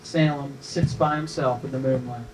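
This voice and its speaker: A male narrator reads screenplay directions at a live table read. His mid-pitched voice is picked up away from the microphone, with faint noise around it. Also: fairly even intonation, normal speed, distant mic, rough recording. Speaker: narrator reading stage directions.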